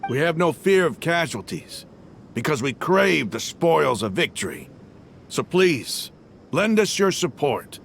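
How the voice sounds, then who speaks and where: Gravelly, slow-spoken desert mercenary leader. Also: male voice, on the high side, sing-song, fairly bright tone, a little hiss or hum, heavily acted. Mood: solemn, determined. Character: hard-bitten proud desert mercenary leader, earnest and loyal. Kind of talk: dramatic video game voice acting.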